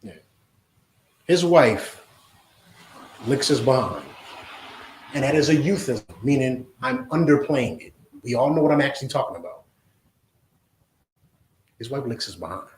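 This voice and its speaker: A man's voice: mid-range voice, lively intonation, measured pace, pauses often, a little hiss or hum. Preaching man in an echoey room. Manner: forceful, repeats "you understand?". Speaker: religious teacher. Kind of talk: preaching lesson to a class.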